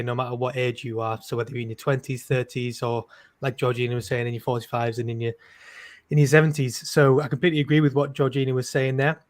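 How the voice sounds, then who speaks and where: A man in his twenties speaking as a panelist in a panel discussion, sounding upbeat and conversational. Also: medium pitch. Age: 20s.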